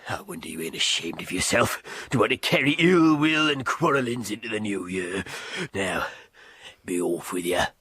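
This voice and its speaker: An actor playing a menacing landlord in a radio drama, husky and taunting with mock politeness. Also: male voice, lowish voice, expressive, moderate pace, a bit bright, dry recording. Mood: sneering. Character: menacing, sneering male landlord.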